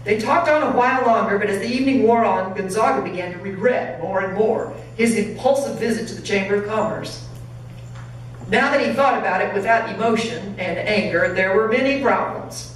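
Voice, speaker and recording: A woman, a pastor, reading a story aloud in an expressive, dramatic narrating style. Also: mid-range voice, fairly quick. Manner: dramatic reading, acting out dialogue. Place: church sanctuary. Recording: very echoey hall, distant, faint noise.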